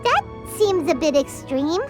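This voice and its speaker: Squeaky, very high childlike fairy companion. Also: female voice, animated, measured pace. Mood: curious. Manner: refers to self in third person, exclaiming, inquisitive. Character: small childlike floating fairy companion, curious and chirpy. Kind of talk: video game character dialogue. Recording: loud background music.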